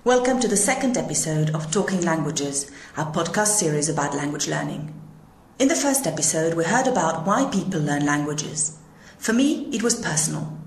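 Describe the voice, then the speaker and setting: A woman hosting an educational podcast, reading her links in a clear, measured way. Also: on the low side, echoey room. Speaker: podcast host. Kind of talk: scripted podcast narration.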